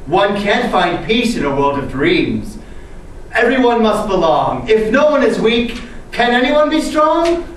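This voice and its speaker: A woman's voice: mid-range voice, sing-song, a little slow. Woman playing a solemn camp spirit, echoey and distant. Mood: mock solemn. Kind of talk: mock-Greek theatrical declamation. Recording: distant, very echoey room with noise.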